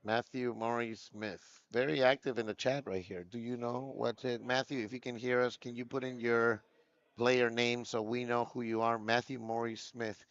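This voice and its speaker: A man's voice: medium pitch, little pitch movement, normal speed, a bit bright, no room sound. Male live-stream commentator, casual and chatty, dry close sound with a dull lo-fi edge. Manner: chatty, reads out chat names. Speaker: tournament commentator.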